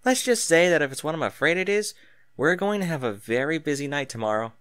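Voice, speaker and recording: A male narrator plays a teenage girl sniper from an audiobook story. She is brisk, protective and determined, her pitch moving freely, recorded dry and close. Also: lively intonation, fairly bright tone, clear, resonant tone, no room sound. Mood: rushed, evasive. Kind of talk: acted audiobook dialogue.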